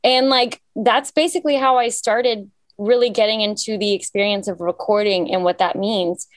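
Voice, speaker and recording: A woman's voice: mid-range voice, fairly even intonation, moderate pace, no room sound. A young woman singer from Cyprus, talking casually and upbeat about her music in an interview, with lots of fillers. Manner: chatty, filler-heavy, upbeat storytelling. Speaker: singer guest.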